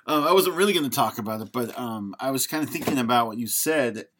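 A man's voice: mid-range voice, animated, fast talker, a bit bright, slight background noise. A man co-hosting a podcast, chatting informally about film and hesitantly feeling his way through opinions. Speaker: podcast co-host. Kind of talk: casual film discussion.

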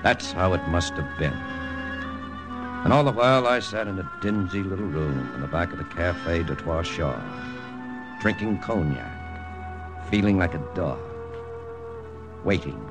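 An actor playing a guilt-ridden wartime secret agent who narrates in the first person in a 1950s radio drama, in a world-weary, confessional way. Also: male voice, sits low, lively intonation, unhurried, long pauses, over music. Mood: brooding.

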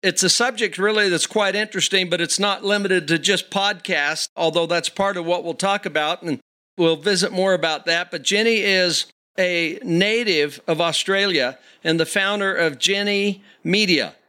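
A man's voice: high voice, moderate pace, bright, forceful tone, smooth. A man hosting a podcast interview, enthusiastic as he introduces his guest. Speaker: podcast host.

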